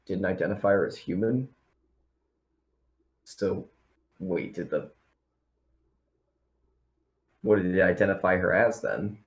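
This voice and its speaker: A man playing a practical, steady spaceship crew member with casual, matter-of-fact phrasing in a tabletop game. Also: low-pitched, moderate pace. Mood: wary, questioning. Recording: echoey room.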